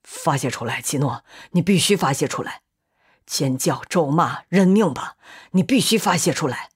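A woman reading an audiobook as a guilt-ridden, numb young man who speaks in a low, subdued, level way. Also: a little fast, breathy edge. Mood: urgent. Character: guilt-ridden, numb young Englishman confessing and urging his friend.

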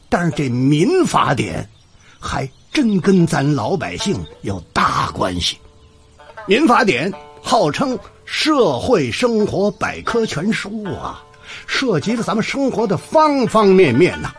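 A man's voice: a little high, heavily acted. An actor playing a chatty retired courthouse doorman in a Chinese radio drama, an older man with a Beijing accent and a folksy, theatrical storytelling manner. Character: chatty retired courthouse doorman, eager neighborhood law volunteer. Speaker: storytelling host and lead character of a radio drama.

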